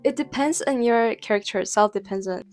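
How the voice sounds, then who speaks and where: A woman's voice: a little high, fairly quick, darkish tone, soft background music. A young woman who is not a native English speaker, talking casually to camera in a vlog with lots of "like" fillers and restarts. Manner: rambling, heavy use of "like" fillers, frequent restarts. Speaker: vlogger.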